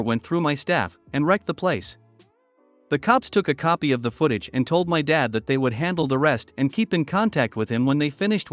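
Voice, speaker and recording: A man narrating online posts aloud in a steady read-aloud delivery. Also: on the high side, dry recording.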